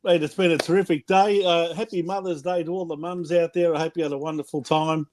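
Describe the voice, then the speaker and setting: An Australian man on a casual panel show over a video call, friendly and quick to laugh while going through the scores. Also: fairly high pitch, steady tone, darkish tone.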